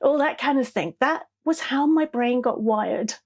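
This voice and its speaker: A middle-aged woman from southeast England with an English accent, telling stories as a podcast guest in a lively, laughing way. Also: high voice, a little slow. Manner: animated, laughs often, tags sentences with "yeah?". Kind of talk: conversational storytelling interview.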